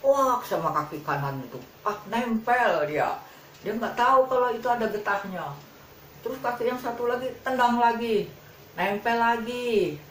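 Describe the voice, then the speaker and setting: A woman telling a children's fable in an informal, repetitive, colloquial way. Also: on the high side. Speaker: storyteller. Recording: roomy, distant mic, faint background noise.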